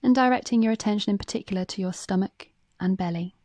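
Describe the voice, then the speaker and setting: A woman reading a guided meditation script in a calm, gentle, measured way, with phrases that soften at the ends. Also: medium pitch, no echo.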